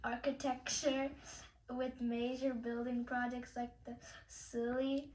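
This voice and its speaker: A woman's voice: a little high, flat delivery, measured pace, a little mellow. A young woman reciting a scripted history presentation in character as a ruler, in a declamatory way. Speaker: student presenter playing a sultan. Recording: echoey room with background noise.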